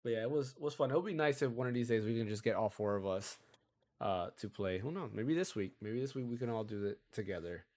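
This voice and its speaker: A man hosting a casual gaming podcast, chatty and rambling, a bit hoarse from a cold. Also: mid-range voice, lively intonation, brisk, a little mellow. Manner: chatty, rambling, self-interrupting. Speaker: podcast host.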